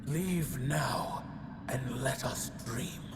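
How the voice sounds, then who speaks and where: An actor voicing a solemn, aloof otherworldly guardian in a video game, stately and ominous, with phrases trailing into breathy whispers. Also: male voice, mid-range voice, drawn-out words. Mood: solemn, resigned. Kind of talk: dramatic video game cutscene acting. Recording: long cavernous reverb, loud ambient noise.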